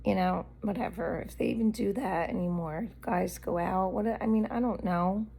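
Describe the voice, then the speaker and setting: A woman's voice: mid-range voice, moderate pace, vocal fry, mellow, breathy edge. Blunt woman ranting on a vlog. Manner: candid, blunt, rambling rant. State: losing her voice from allergies. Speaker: vlogger. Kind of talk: unscripted rambling monologue.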